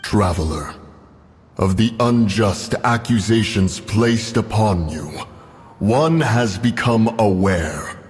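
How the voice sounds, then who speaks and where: Proud adeptus-like beast of a fantasy game: low, unhurried, archaic and stately, over prominent music. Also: male voice, animated. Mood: solemn, stately. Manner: pompous, archaic, deliberate speech. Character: mighty illuminated immortal guardian beast, proud, archaic and solemn. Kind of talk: theatrical fantasy game voice acting.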